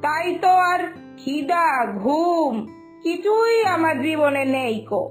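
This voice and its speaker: A woman's voice: sits high, expressive, slow, soft background music. A woman voicing a querulous older village mother-in-law in a cartoon story, complaining and scolding. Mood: weary, plaintive.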